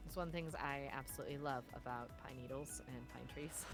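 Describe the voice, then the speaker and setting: A woman's voice: extremely low pitch, fast talker, soft background music. A woman from California bantering playfully with a friend while gaming on stream. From California, USA. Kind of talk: casual chat while gaming.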